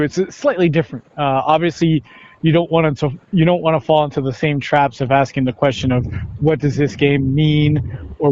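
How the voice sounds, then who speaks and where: A muffled, lo-fi recording of a man with a somewhat high-pitched voice. He talks conversationally as a sports announcer being interviewed, pausing and repeating words as he goes. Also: darkish tone. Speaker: radio play-by-play announcer, interview guest. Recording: dull, lo-fi, band-limited sound.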